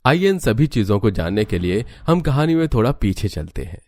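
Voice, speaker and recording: A man's voice: on the low side, very animated, normal speed, darkish tone, no room sound. A man narrating a cartoon family story in a scripted storyteller style.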